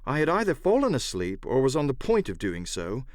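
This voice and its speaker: A man narrating an adventure novel as dramatic, scripted storytelling in a measured literary style. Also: mid-range voice, wildly swooping pitch, no echo, clean studio sound. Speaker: audiobook narrator and voice actor.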